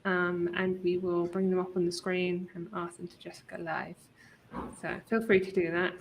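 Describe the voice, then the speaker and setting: A woman's voice: on the low side, flat delivery, moderate pace, vocal fry, a little hiss or hum, poor audio. A woman hosting a livestream interview over a video call, speaking in a friendly way with plenty of fillers. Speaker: host.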